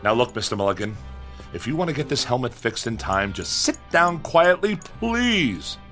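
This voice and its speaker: A pompous gentleman scholar in a radio comedy. His voice is bright and highly animated, with mannered, old-fashioned phrasing and an exasperated edge, over faint music. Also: normal speed. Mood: fussy, patient. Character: pompous, exasperated scholar enamored of the nineteenth century. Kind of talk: comic radio drama acting.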